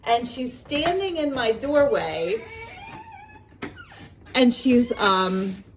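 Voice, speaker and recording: A woman talking casually and expressively about books and telling personal stories, often pausing mid-thought as a vlogger does. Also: fairly high pitch, big pitch swings, slight background noise. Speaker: book reviewer vlogger. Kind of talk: casual chatty book review and personal storytelling.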